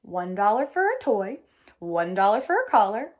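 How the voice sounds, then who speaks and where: A woman's voice: medium pitch, sing-song, normal speed, muffled recording. A woman reading a picture book aloud expressively as a teacher, speaking directly to young listeners.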